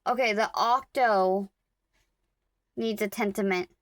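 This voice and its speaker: A woman vlogging casually about her crochet projects, rambling and correcting herself as she goes, her throat a little rough. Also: medium pitch, steady tone, slow pace, fairly bright tone, no echo. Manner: rambling, self-correcting, talks to viewers while working. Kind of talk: casual chatty vlog.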